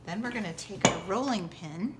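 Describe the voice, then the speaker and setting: A woman giving a step-by-step cooking demonstration like a teacher, with simple, clear directions. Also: medium pitch, normal speed, very noisy. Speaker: school teacher presenting a recipe.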